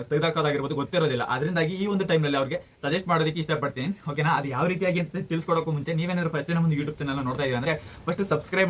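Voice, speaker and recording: A man's voice: fairly high pitch, fairly bright tone. A man hosting a casual how-to video, addressing viewers directly and often checking that they follow. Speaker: video tutorial host.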